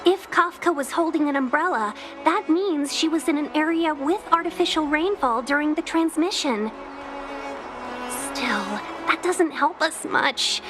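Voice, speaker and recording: Curious young woman, video game dialogue, high voice, analytical, clear music behind.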